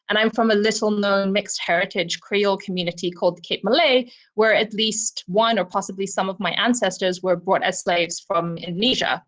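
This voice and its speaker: A woman from Zimbabwe speaks over a video call as a book club co-presenter. She reflects thoughtfully and personally on a novel, often pausing with ums and you knows. Her pitch sits in an ordinary middle range. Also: a bit bright. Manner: reflective, personal, frequent "um" and "you know" fillers. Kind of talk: virtual book discussion talk.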